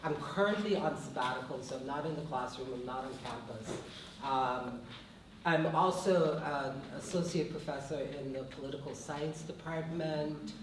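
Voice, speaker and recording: A woman's voice: deep voice, normal speed, noisy background. A woman from Guyana with a Guyanese accent, a professor speaking on a discussion panel, thoughtful and often asking "right?". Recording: echoey room, mic far off.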